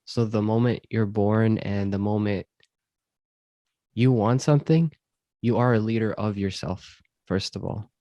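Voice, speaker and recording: A man from Syria, host of a self-improvement podcast, answering interview questions in a thoughtful, measured way with frequent ums. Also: lowish voice, warm tone, no echo, lo-fi sound. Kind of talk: reflective conversational interview.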